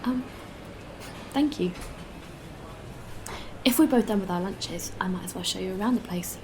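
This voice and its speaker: Sci-fi audio drama: kind, protective female lead, actress, medium pitch, earnest, over clear background noise. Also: normal speed, close to an everyday voice. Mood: kind, friendly. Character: kind, anxious, protective young woman space traveller.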